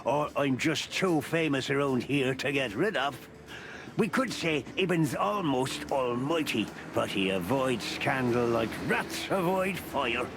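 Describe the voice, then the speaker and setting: Lively, folksy old Irish beaver. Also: male voice, mid-range voice, a little slow. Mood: defiant, proud. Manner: teasing, folksy, chuckling old-timer. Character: jovial, stubborn old beaver, fatherly city local. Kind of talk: video game voice acting. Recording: music under the voice.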